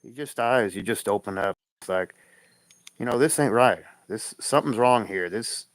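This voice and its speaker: Man co-host, slightly low voice, dry close sound; blunt, emphatic, laughs through his points. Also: moderate pace, no room sound. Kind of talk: casual livestream chat.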